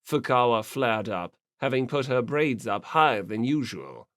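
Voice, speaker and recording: A man narrates a scripted story for an audiobook. His pitch is middling and the sound is dry, with no room around it. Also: lively intonation, unhurried, fairly bright tone, no room sound.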